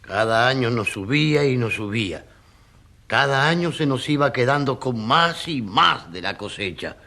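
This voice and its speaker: An actor playing a poor, aggrieved tenant farmer giving plain-spoken, rustic testimony in a radio drama. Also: male voice, mid-range voice, lively intonation, moderate pace, creaky, sharp tone.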